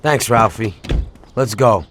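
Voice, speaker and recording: An actor playing a cocky, tough American mobster with blunt, slangy talk in a video game. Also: male voice, on the low side, takes it slowly, fairly bright tone, slight background noise. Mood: brisk, casual. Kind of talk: dramatic game cutscene acting.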